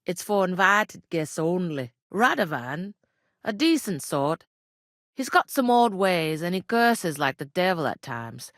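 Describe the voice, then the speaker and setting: An actress playing a plain-spoken, bossy, world-weary village innkeeper in a medieval video game, with a rustic working-class English regional accent and a blunt, wry manner. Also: female voice, fairly low pitch, sing-song, measured pace, no echo, studio quality. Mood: chatty, matter-of-fact. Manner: blunt, earthy, colloquial, wry. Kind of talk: video game character dialogue.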